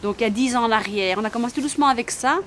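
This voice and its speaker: A woman whose first language is Italian, calmly explaining her shop in a documentary interview, repeating phrases as she goes. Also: a little high, racing, slight background noise. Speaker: shop owner.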